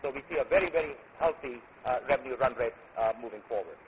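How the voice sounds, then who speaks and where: A man presenting his company at a conference, speaking in a halting way with many filler sounds. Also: mid-range voice. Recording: muffled recording with faint noise.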